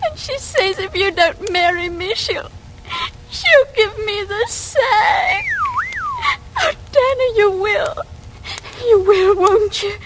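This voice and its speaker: An actress playing a young, clinging English maid in a radio drama, with a working-class accent and a plaintive, breathless delivery. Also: female voice, very high voice, lively intonation, takes it slowly, a bit bright, heavily acted. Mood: tearful, pleading. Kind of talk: 1940s radio acting. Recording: noisy vintage radio recording.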